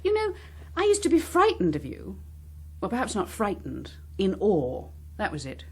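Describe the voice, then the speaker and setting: A woman's voice: mid-range voice, huge pitch swings, moderate pace, darkish tone, slightly rough, full character voice. An actress playing a bitter, proud, defiant woman, sardonic and clipped, in a naturalistic political drama.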